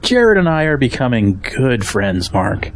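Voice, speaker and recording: Firm family patriarch, middle-aged, low-creaking voice, upright and fatherly, audio drama with audible background noise. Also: big pitch swings, unhurried. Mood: wry, firm.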